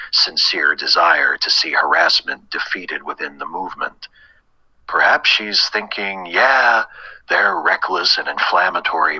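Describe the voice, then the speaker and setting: A grave, wounded proclaimer of doom in a mock-dramatic monologue. The voice is extremely deep and very raspy, with a hard, tense edge. Each phrase is portentous and drawn out, often sinking to a breathy whisper. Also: male voice, sharp tone, no room sound, full character voice, rough recording. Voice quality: breathy, rasping stage whisper. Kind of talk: mock-dramatic reading of online comments.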